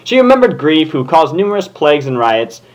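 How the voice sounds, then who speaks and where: A man's voice: fairly high pitch, sing-song, brisk. A man hosting a comedic fan fiction reading, jokey and casual, with plenty of swearing. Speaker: host and fan fiction reader.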